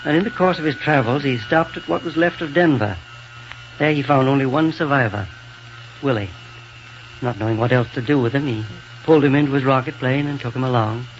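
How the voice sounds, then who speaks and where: A man playing a kindly, cautious doctor in a 1930s radio serial, soothing and deliberate, on a noisy old broadcast. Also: medium pitch, muffled recording, close to an everyday voice. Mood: grave, explaining. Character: kindly, cautious doctor tending a hurt boy.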